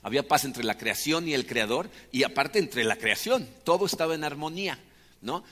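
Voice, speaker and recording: Male preacher, Mexican Spanish accent, casual sermon style with rhetorical questions, slightly higher voice, long hall echo. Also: animated, normal speed, bright, forceful tone. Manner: chatty, asks rhetorical questions. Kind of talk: conversational sermon.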